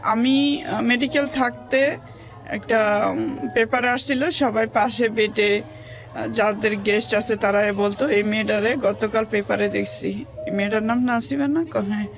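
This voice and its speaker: A woman's voice: on the high side, fairly even intonation, measured pace. A woman with a colloquial Bangladeshi accent giving personal testimony as an interview guest, plainly recounting events and quoting what others said. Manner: plain colloquial telling, quotes others' words. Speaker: interview guest telling her life story. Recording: music under the voice.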